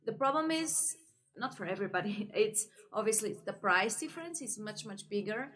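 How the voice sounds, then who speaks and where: A woman's voice: mid-range voice, expressive, moderate pace, fairly bright tone. A young woman from Portugal chatting casually to camera about her reading, with many fillers and frequent laughs. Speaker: book vlogger.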